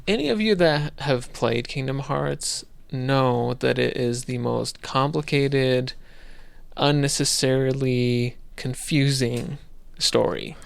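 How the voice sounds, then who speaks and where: A man's voice: mid-range voice, vocal fry, a bit bright, no echo. A man co-hosting a casual podcast, chatting loosely with many fillers and restarts. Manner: rambling, lots of fillers and restarts. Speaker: podcast co-host.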